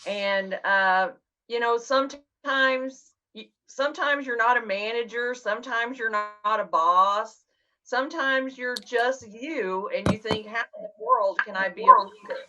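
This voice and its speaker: A woman on a video call previewing an upcoming talk, friendly and encouraging, pausing to think. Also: on the high side, pushed and bright, dull audio, slight background noise. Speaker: co-presenter announcing next session.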